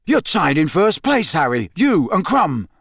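A man voicing a friendly, excited young man, chatty and hurried, in an audiobook. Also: sits high, creaky, no room sound, clean studio sound, voice pushed up, full character voice.

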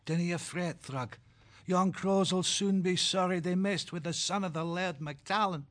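A man's voice: high voice, moderate pace, a bit bright. A man voicing a bold young male falcon with a Scottish accent and a brash, boastful manner.

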